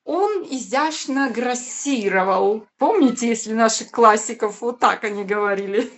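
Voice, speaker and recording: A woman's voice: a little high, measured pace. A woman co-hosting a casual news chat, reading out viewer comments and adding short, plain remarks. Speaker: co-host.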